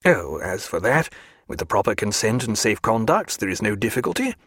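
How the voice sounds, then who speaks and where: A male narrator voicing a reserved, earnest naturalist who speaks in a measured, formal way. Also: on the low side, big pitch swings, fairly bright tone, slightly rough, no room sound, clean studio sound, heavily acted.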